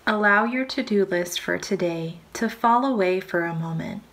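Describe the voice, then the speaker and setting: A woman reading a scripted guided imagery meditation in a calm, soothing, measured manner with long pauses. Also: medium pitch.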